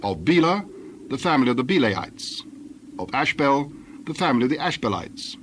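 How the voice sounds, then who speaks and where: A man's voice: mid-range voice, sing-song, a bit bright. Man formally narrating scripture over background noise. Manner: formal, measured recitation. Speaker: Bible narrator. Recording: clear ambient noise behind narration.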